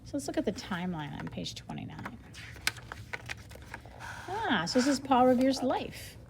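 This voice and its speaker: A woman reading a nonfiction book aloud as a lesson for children, expressively, pausing to explain things simply and think out loud. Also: high voice, sing-song, gravelly, background noise.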